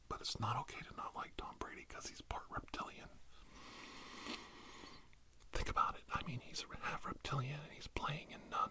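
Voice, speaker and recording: Whispering man, rambling ASMR storyteller. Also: a little high. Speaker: ASMR host posing as a healing doctor.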